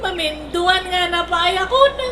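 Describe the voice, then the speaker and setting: A woman's voice: very high voice, normal speed, sharp tone. A woman playing a giggly, weepy single girl. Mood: dramatic. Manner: laughing, teary, dramatic delivery. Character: emotional single young woman, dramatic and giggly. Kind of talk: radio drama acting. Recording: echoey room with clear noise.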